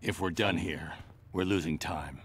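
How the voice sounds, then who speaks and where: An actor playing a grim, determined vigilante superhero in a video game, speaking in a terse, grave way. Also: male voice, sits low, unhurried, noisy background. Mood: stern, urging. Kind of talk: dramatic video game cutscene acting.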